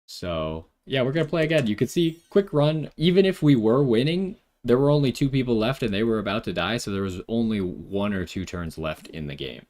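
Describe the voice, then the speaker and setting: A man's voice: mid-range voice, animated, a little fast, few pauses, darkish tone. A man giving casual gameplay commentary as the host of a gaming video, thinking aloud as he decides.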